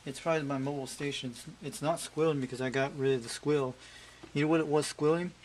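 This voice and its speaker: A man's voice: fairly high pitch, fast. A man chatting casually and repetitively as he tests his radio gear, like a hobbyist showing his setup. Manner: rambling, repetitive. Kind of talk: casual chat while testing radio gear. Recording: very noisy amateur recording, loud hum. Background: loud steady noise.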